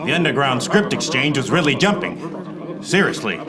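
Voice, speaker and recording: An actor playing a hapless, exasperated private eye, narrating deadpan in a parody noir radio play. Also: male voice, medium pitch, moderate pace, over a noisy crowd, lightly acted. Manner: deadpan hard-boiled narration.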